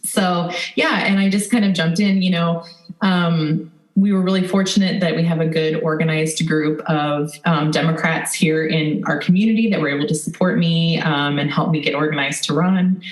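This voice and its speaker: Podcast guest: American woman, slightly low pitch, informal and earnest advocate, echoey recording. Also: fairly even intonation, normal speed. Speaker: podcast guest, political advocate and former candidate. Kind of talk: conversational interview. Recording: echoey room sound.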